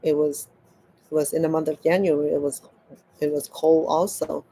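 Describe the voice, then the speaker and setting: Woman telling a story haltingly. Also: low voice, little pitch movement, warm tone, slight background noise, poor audio. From Cambodia. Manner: halting, repeats words as she searches for them. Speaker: crochet vlogger. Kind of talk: casual storytelling while crafting.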